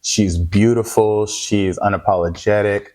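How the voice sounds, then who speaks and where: Young male singer guest, low voice, animated slangy storyteller, laughs a lot. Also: unhurried. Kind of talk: casual interview chat.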